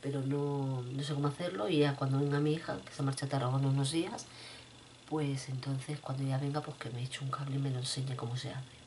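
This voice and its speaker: A middle-aged woman with a cold and a rough throat, chatting casually to her viewers from a room at home, repeating herself as she talks. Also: rumbling low, fairly even intonation, a little fast, a little mellow, a little hiss or hum. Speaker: vlogger. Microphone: webcam at desk.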